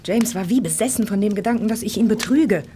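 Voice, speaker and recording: An actress playing a flustered, defensive married lady in a radio play, speaking in agitated, hurried phrases. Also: medium pitch, dark tone, a little hiss or hum, lightly acted. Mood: nervous, pleading. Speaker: actress in an audio drama. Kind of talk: German radio play acting.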